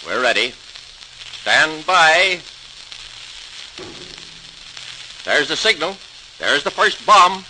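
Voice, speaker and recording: Male authority figure, formal and decisive, expressive intonation, old-time radio drama with heavy surface noise. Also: on the high side, slow, heavily acted. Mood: alert, commanding. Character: authoritative senior male official presiding over scientific tests. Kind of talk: 1940s-style radio docudrama acting. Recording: noisy, sizzling old-time radio recording.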